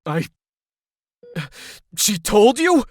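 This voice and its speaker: An actor playing an anxious, easily startled teenage hero in an audio drama. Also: male voice, high-pitched, very animated, extremely slow, no room sound, full character voice. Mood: confused.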